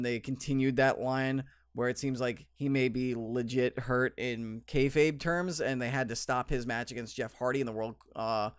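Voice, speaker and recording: A man's voice: mid-range voice, smooth, dry recording, studio quality. A young man with a slight cold hosting a solo podcast, reviewing wrestling in a rambling, enthusiastic way with many fillers. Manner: rambling, lots of ums and restarts, enthusiastic.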